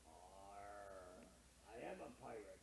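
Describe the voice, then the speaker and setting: A man voicing a gruff but friendly pirate who growls his lines in a low rasp. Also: slow, roomy sound, slight background noise. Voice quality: gravelly.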